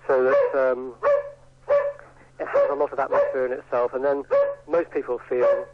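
A man's voice: medium pitch, moderate pace, lo-fi sound, heavy background noise. A man giving an informal, hesitant radio interview from home.

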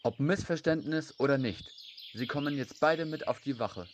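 A man's voice: medium pitch, normal speed, natural voice. An actor playing a firm, matter-of-fact male police officer in a radio play. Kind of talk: hobby German radio play acting. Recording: clear background noise with birdsong.

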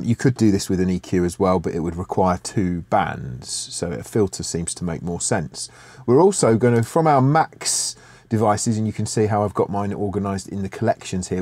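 A middle-aged man teaching music production software in a screen-share tutorial, relaxed and thinking aloud with fillers and restarts, recorded in a home studio. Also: sits low, moderate pace, dry recording.

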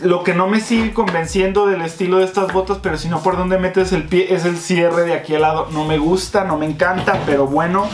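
A young man giving a casual, enthusiastic review to viewers he calls friends, seated at a desk indoors. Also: high voice. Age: young adult. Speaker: fashion vlogger. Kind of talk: casual product review vlog. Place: indoor room with shelves. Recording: echoey room with faint music.

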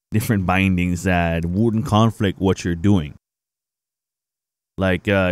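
A young man hosting a casual software tutorial, explaining things informally to viewers on a close microphone. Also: low-pitched, no room sound. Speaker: tutorial host, gaming streamer. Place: indoor home streaming setup. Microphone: close boom-arm microphone.

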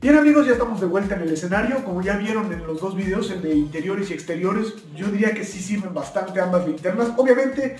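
High-voiced man, chatty vlogger. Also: animated, quick pace, few pauses, darkish tone, soft background music. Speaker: video host. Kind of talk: casual product-test vlog. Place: plain indoor room, also outdoors at night.

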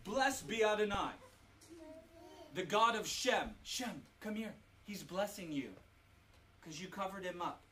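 A woman's voice: medium pitch, animated, a little slow, pauses often, a bit bright. A woman reading scripture aloud and directing children in an instructive way, repeating short directions. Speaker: parent leading a family Bible reenactment. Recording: distant mic with music underneath.

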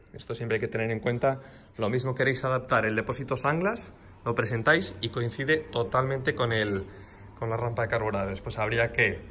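Bright-voiced man explaining a build. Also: mid-range voice, slight background noise. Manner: explanatory, chatty, with restarts. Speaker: motorcycle customizer. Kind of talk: casual walkthrough. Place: motorcycle workshop, indoors. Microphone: handheld phone camera.

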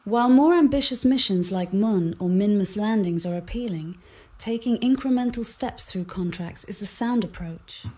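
A synthetic woman's voice acts as an AI mission companion. It sounds mellow and relaxed at a medium pitch. The delivery is formal, articulate and composed, like measured advice. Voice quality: synthetic text-to-speech voice. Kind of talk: measured advisory narration.

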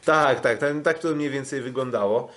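A man recapping sports as a podcast host in a halting way, often repeating words. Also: mid-range voice, fairly bright tone. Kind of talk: casual sports recap commentary.

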